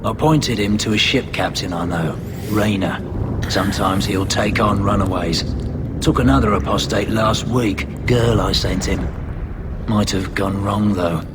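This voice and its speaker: An actor playing a guarded, matter-of-fact street informant in a video game. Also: male voice, fairly low pitch, a little slow, close to an everyday voice. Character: shady adult man, a mercenary informant who aids runaway mages. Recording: loud game ambience noise. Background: loud ambient game noise.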